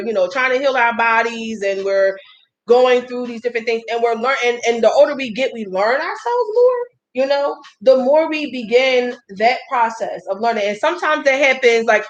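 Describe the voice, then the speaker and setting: Woman, thirties, live-stream host, chatty and folksy, higher pitch. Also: normal speed, highs cut off. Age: 30s. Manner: chatty, folksy, addresses viewers as y'all. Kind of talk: casual live-stream talk.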